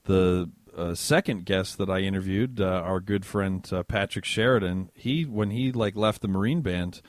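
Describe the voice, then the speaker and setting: Male podcast host, low register, dry close-mic delivery, playful and loose. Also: moderate pace, no room sound. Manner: joking, relaxed, many fillers. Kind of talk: casual interview chat.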